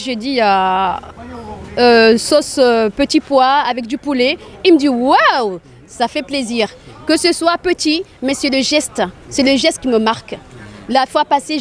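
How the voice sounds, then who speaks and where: A woman from Arabia speaking spontaneously in an interview as a charity volunteer, earnest and repeating phrases for emphasis. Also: high-pitched. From grew up in Arabia.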